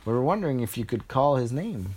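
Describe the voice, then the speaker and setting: A man's voice: medium pitch, very animated, brisk, a little mellow, slightly rough, voice pushed up. A man voicing a group of impatient little princess girls. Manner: impatient, pleading.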